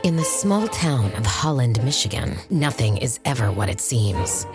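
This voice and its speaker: A woman announcer reading a dramatic trailer voice-over with a dark, suspenseful delivery. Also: a bass voice.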